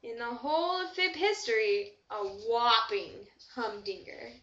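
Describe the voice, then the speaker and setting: A woman's voice: on the high side, a little slow, a bit bright. A woman reading a rhyming children's story aloud with expression. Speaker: storybook reader. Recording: dull echoey amateur room recording.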